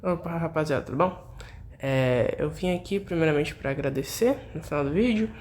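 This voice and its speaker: A man's voice: fairly high pitch, moderate pace. A man with a Brazilian accent chatting casually and a bit hesitantly as a video creator, with frequent tag questions. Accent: Brazilian Portuguese. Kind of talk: casual vlog outro chat. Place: at home, street sounds passing. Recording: noisy home recording with ambience.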